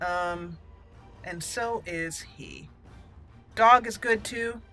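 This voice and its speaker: A woman's voice: mid-range voice, extremely slow, bright, forceful tone, faint music behind. A woman giving casual let's play commentary as a channel host, thinking aloud and repeating words as she goes.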